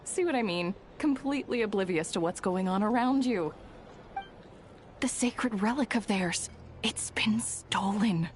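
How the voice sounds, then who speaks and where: A woman's voice: lively intonation, unhurried, slight background noise, natural voice. A woman as a knowing town gossip. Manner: confiding, lowers voice to share news. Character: gossiping townswoman, knowing and conspiratorial. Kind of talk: video game cutscene voice acting.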